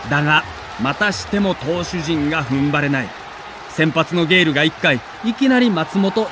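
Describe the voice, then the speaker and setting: A man's voice: fairly high pitch, slight background noise. A man delivering dramatic scripted narration of a sports documentary, with theatrical, emotive storytelling in the role of a reporter.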